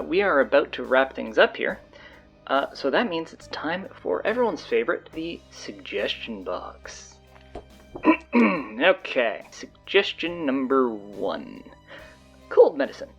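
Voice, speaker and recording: A man playing a flustered, sardonic stand-in high school announcer, rambling hesitantly and casually through audio drama announcements. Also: gravelly, slightly rough, dull audio, heavily acted. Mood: exasperated, casual.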